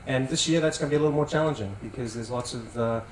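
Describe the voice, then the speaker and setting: A man, a company executive, speaking smoothly and in a practiced way on a panel in a large tent. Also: mid-range voice, quick pace. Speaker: senior vice president on a panel. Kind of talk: panel discussion. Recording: roomy sound from some distance, faint noise.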